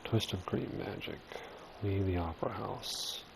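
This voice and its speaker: Deep-voiced man playing through a game. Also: fairly even intonation, unhurried, gravelly, noisy background. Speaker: gamer reading and reacting to a story. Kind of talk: casual game playthrough commentary and read-aloud. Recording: noticeable game ambience behind the voice.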